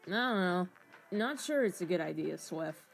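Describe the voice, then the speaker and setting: A woman's voice: lowish voice, lively intonation, normal speed, vocal fry, faint music behind, rough recording. A woman voicing a disillusioned, grumbling schemer in a comic audio drama, ranting in exasperation. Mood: exasperated, doubtful. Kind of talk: hobby voiced comic drama.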